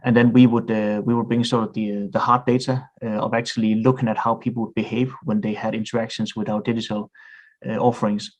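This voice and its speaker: Danish man, mid-career podcast guest, monotone, halting and considered. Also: fairly low pitch. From Denmark. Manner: thoughtful, frequent hesitations and restarts. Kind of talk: podcast interview.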